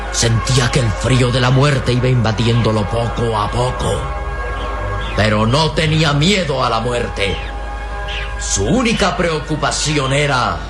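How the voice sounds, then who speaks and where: A man narrating a radio serial in a suspenseful, declamatory style. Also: mid-range voice. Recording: radio drama with loud music behind.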